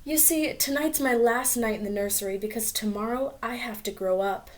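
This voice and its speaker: A woman's voice: fairly high pitch, moderate pace, lightly acted. A woman reading the part of a sweet, earnest and brave young girl in a children's storybook.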